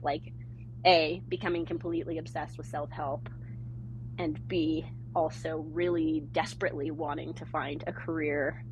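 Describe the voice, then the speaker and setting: A woman's voice: deep voice, muffled recording, background noise. A woman raised in the San Francisco Bay Area, chatting as a podcast guest and laughing often between fillers. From born in Germany, raised in the San Francisco Bay Area. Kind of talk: casual interview conversation.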